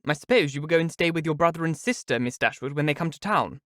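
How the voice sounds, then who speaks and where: A man's voice: a little high, fast, fairly bright tone, no room sound, clean studio sound, voice pushed up, lightly acted. A falsely sweet young woman, as a male reader puts her on, gushing and insinuating in a storybook reading. Her voice sits lifted above the reader's own.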